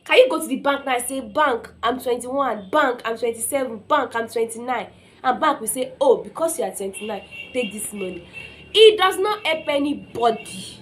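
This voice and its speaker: A woman's voice: on the high side, animated, normal speed, a bit bright. A young Nigerian woman speaking as an informal motivational speaker, telling personal stories emphatically, acting out quotes and often checking in with listeners.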